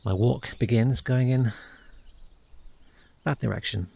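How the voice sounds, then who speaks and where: A man's voice: medium pitch, slow pace, vocal fry, mellow, breathy edge. A man narrating his hike for a walking vlog, thinking aloud with small restarts, recorded on a camera mic outdoors. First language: not a Welsh speaker. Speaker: hiking vlogger. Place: outdoors on a hillside in the countryside.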